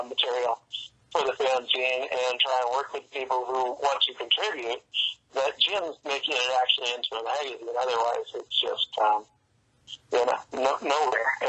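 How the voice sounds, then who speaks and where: A man speaking casually as an interview guest, explaining things in a halting, rambling way over a telephone line. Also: medium pitch, sharp tone. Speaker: interview guest, comics writer and fan club organizer.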